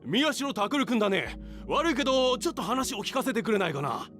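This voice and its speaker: A prying reporter in visual novel style, insistent and very high-pitched. The delivery is quick, over faint music. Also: male voice, sing-song, fairly bright tone, exaggerated voice. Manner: insistent, nosy questioning. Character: pushy, prying news reporter. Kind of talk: Japanese visual novel voice acting.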